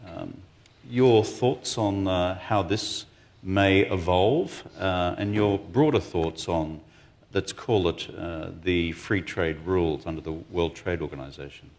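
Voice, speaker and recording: A man's voice: sits low, slow, darkish tone. A man moderating a panel discussion, framing questions and managing the audience with wry, dry humor.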